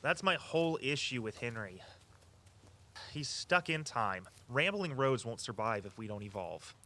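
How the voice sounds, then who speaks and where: An actor playing an eager, earnest walking-tour guide who tells local history with a storyteller's flair. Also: male voice, mid-range voice, big pitch swings, a little slow, bright, forceful tone, exaggerated voice. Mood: casual, earnest. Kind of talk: audio drama dialogue, storytelling tour patter.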